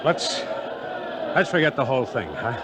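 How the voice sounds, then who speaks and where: An actor playing an earnest baseball pitcher with a halting, stammering delivery in an old-time radio drama. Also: male voice, lively intonation, lightly acted. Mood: eager, awkward. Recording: vintage radio, noisy roaring crowd.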